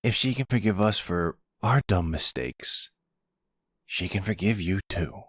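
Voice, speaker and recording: Man voicing a cheeky, husky young son. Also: low voice, expressive, unhurried, fairly bright tone, dry recording, clean studio sound, full character voice. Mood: blunt, teasing. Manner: casual, teasing, blunt. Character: cheeky, protective young son, an aspiring chef. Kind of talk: roleplay audio drama.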